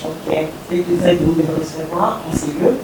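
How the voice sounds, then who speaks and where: Low-voiced woman host. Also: little pitch movement, moderate pace, echoey room, background noise. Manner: formal welcoming announcer tone. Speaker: talk show host. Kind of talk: interview hosting.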